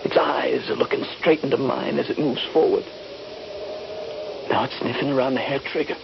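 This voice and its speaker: An actor playing a trapped, wounded Union soldier, frightened and bitter, with intense, deliberate dramatic phrasing in a radio drama. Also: male voice, mid-range voice, fairly even intonation, gravelly, lightly acted. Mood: tense, frightened. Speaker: actor narrating and playing the lead. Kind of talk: radio drama acting with first-person narration. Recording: dull, noisy old radio broadcast.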